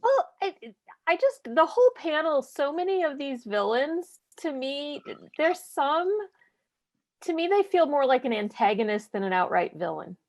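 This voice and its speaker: A woman's voice: high-pitched, big pitch swings, normal speed, a little mellow. A woman from the audience shares her opinion tentatively, thinking aloud as she goes. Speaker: audience member invited to speak. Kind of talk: casual panel discussion.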